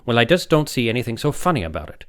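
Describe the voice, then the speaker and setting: A man's voice: mid-range voice, very animated, very fast, fairly bright tone, pitched above the performer's own voice. Audiobook role: male reader as doubtful young doctor, troubled conscience, hesitant, raising objections. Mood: annoyed. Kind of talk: audiobook character dialogue.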